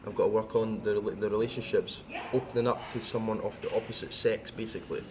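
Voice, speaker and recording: A man's voice: lowish voice, steady tone, quick pace, a crowd in the background. A man speaking in a casual motivational style, blunt and emphatic, repeating phrases to drive points home. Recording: music behind the talk. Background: background music.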